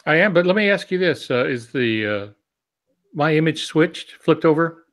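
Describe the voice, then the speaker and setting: A man's voice: fairly high pitch, expressive, moderate pace. An older man chatting casually as a podcast co-host. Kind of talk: casual conversation.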